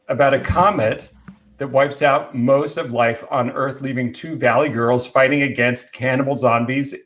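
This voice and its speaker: A man's voice: medium pitch, fairly bright tone. Male co-host, mid-range voice, chatty and keen, informal movie talk with friends. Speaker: podcast co-host. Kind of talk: casual film discussion among friends.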